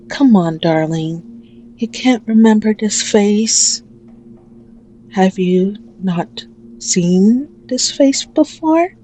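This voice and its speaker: A woman performs a sadistic female mafia boss who toys with a captive in an ASMR roleplay. Her voice is dark and soft-edged, and she draws out each mocking phrase at a crawl. A faint noise sits behind the voice. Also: poor audio. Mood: coaxing, possessive. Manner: slow, taunting, drawn-out with frequent low chuckles.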